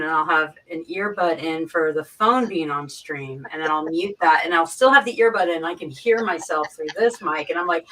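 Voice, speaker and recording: A woman with a fairly low but sharp, bright voice chats casually on a livestream. She explains things informally, repeating phrases as she goes, and sounds set back from her microphone. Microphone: boom mic, sitting back from it. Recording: distant from the microphone.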